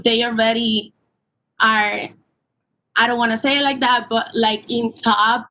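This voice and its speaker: Young woman calling in to a hearing, earnest and a bit halting, kinda high voice. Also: pushed and bright, low-quality recording. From Puerto Rico. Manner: earnest, eager, halting with many ums. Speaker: permit applicant. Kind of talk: remote public hearing testimony.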